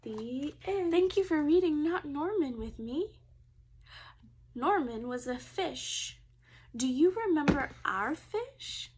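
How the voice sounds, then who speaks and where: A woman teacher reading a storybook aloud to young children in an expressive way, speaking to them directly. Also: high-pitched, takes it slowly, a little hiss or hum.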